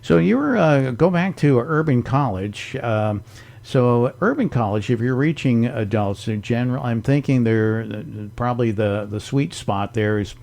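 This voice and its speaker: A man hosting a radio talk show, asking questions in a halting way with frequent fillers. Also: medium pitch, lively intonation, gravelly, a little mellow. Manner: halting, many fillers and restarts. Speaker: radio host. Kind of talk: radio interview.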